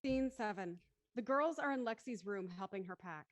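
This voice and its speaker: A woman's voice: medium pitch, expressive, moderate pace. Female narrator for a scripted play, mid-range voice, reading scene directions.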